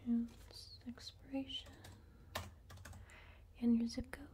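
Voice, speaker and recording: A woman doing an ASMR roleplay as a cashier, talking softly and mostly in whispers, with friendly counter small talk, recorded at a table indoors. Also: fairly high pitch, little pitch movement, slow, background noise. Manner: soft-spoken, mostly whispering. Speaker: ASMR creator playing a cashier. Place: indoor room at a wooden table.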